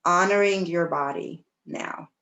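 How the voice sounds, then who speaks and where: Woman, slightly low pitch, yoga instructor, guided meditation, gentle, soothing, pauses between phrases. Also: muffled recording. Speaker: yoga teacher leading a class.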